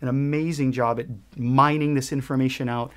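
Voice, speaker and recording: Earnest man from Hawaii, mid-pitched. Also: fairly even intonation, fairly quick, smooth. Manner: earnest, with frequent restarts and fillers. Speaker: guest, ukulele shop owner. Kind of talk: conversational interview.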